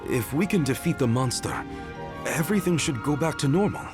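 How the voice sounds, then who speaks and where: An actor playing a kindly, dry, considerate mercenary in a video game, with a measured delivery. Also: male voice, fairly high pitch, lively intonation, music in the background, natural voice. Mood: calm. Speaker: mercenary character.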